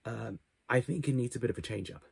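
A man's voice: medium pitch, fast, vocal fry, breathy edge, no room sound. A man in his twenties giving a casual channel update to camera, with hesitant, self-deprecating asides. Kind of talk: casual vlog talk to camera. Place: small cluttered bedroom, indoors.

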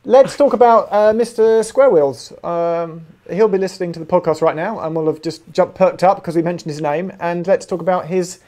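A man's voice: high voice, normal speed, hardly any pauses, dark tone. A man in his thirties with a British accent, chatting casually and wryly as a podcast co-host. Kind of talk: casual chat. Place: home room, video call.

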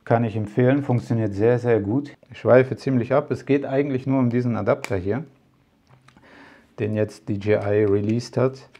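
A man's voice: medium pitch, moderate pace, dark tone. A man talking casually to camera as a tech vlogger, rambling with many fillers and asides. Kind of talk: casual product talk to camera.

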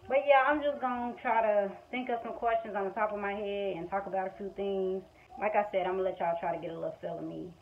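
A woman's voice: mid-range voice, steady tone, quick pace, hardly any pauses. A woman in her twenties with an American accent, chatting casually and frankly off the cuff in colloquial speech. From Missouri, United States. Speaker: vlogger, stay-at-home mother. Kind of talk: casual off-the-cuff chat to camera. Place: small room at home, indoors. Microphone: phone at arm's length or propped nearby. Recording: dull lo-fi phone audio, faint background noise. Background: household background noise.

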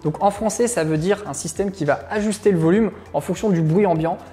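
A man's voice: high voice, brisk, dark tone. A man, giving a casual, explanatory product review in a conversational way. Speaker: motorcycle gear reviewer. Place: small indoor room at a desk.

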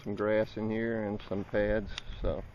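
A man in a small boat on a lake, giving casual running commentary on his fishing with some mild swearing, recorded outdoors on a camera boom. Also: level tone, measured pace. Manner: chatty, talks to himself, mild swearing. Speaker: fishing vlogger. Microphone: action camera on a boom. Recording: dull lo-fi camera audio with loud wind and water. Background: wind and lapping water.

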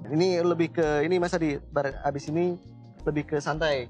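A man's voice: fairly high pitch, steady tone, moderate pace, darkish tone, faint music behind. A young man, hosting a casual interview in a cafe and joking often. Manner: joking, laughs often, mixes in Javanese. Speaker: interviewer host. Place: small bright cafe indoors.

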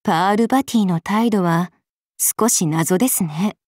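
Aloof, languid demon goddess. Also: female voice, lowish voice, a little slow, a little mellow, no room sound, clean studio sound. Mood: cool, musing. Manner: composed, polite, faintly sardonic. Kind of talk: Japanese anime game character lines.

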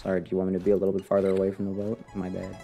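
Deadpan young male streamer, soft-edged voice. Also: low voice, racing, vocal fry. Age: young adult. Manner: sarcastic, deadpan, indifferent. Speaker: video game streamer. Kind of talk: casual playthrough commentary. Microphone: desk microphone close to the face. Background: game music.

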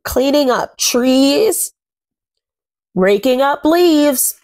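A woman's voice: sits high, lively intonation, very slow, darkish tone, dry recording. A young woman reading a picture book aloud to small children, expressively and with sing-song phrasing, chatting about it as she goes. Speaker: children's read-aloud host. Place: small indoor room at home.

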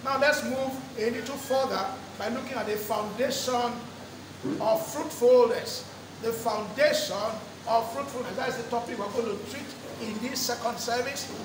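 Pastor guy preaching, super high voice, drags out key words and repeats stuff, echoey hall. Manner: stretches key words slowly, repeats phrases, rises to emphatic peaks. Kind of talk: sermon. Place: church hall with draped walls. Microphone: lectern microphone. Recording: echoey church hall, distant mic, background noise.

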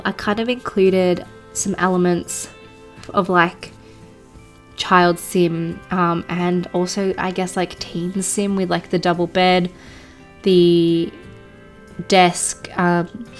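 A woman, a blocked nasally voice, giving a rambling casual voice-over with lots of ums and likes. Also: lowish voice. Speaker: game build creator. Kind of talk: casual voice-over commentary on a game build. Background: soft background music.

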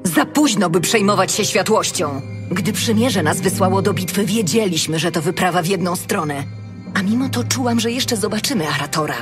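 A woman's voice: mid-range voice, lively intonation, moderate pace, over music, close to an everyday voice. Woman playing a resolute elven ranger captain. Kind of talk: audiobook drama acting.

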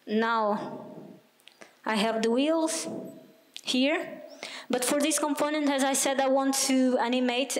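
A young woman giving a technical talk with a live demo, explaining step by step in a halting way. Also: high voice. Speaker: conference speaker, product designer. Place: small indoor meetup venue, on stage before seated audience. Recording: long hall reverb.

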